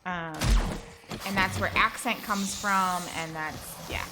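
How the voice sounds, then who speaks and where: A woman's voice: mid-range voice, fairly even intonation, slow. A woman streaming a survival game, chatting casually and rambling with plenty of fillers. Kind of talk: casual chat while gaming. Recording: loud background noise with clicks.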